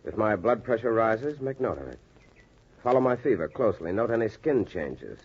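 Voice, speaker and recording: An American man acting an earnest, determined doctor and storyteller in a measured 1940s radio style. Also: fairly low pitch, big pitch swings, a little mellow, slightly rough, full character voice. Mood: urgent, resolute. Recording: muffled vintage radio, music behind.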